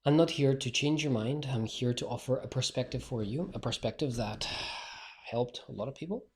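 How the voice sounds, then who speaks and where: A man's voice: mid-range voice, normal speed. A male speaking coach, not a native English speaker, talking bluntly and directly in a solo podcast monologue.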